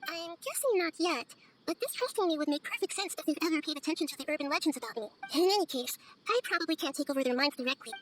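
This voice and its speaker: A woman voicing a wise, gentle little-girl god in a video game, speaking in a measured, thoughtful, articulate way. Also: high-pitched, lively intonation, noisy background. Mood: serious, caring. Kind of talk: scripted video game voice acting.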